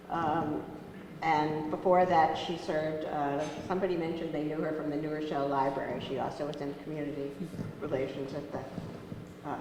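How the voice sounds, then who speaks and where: Woman host doing intros, lots of ums, lowish voice, super echoey room. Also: darkish tone. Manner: hesitant, many ums and uhs. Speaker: program host introducing a speaker. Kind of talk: introductory remarks. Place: lecture room with audience. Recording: very echoey room, faint noise.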